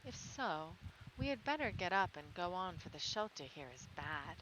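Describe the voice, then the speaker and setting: A woman's voice: lowish voice, very animated, moderate pace. A woman voicing a big, companionable male donkey in a fairy tale, practical and wistful. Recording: clearly noisy background.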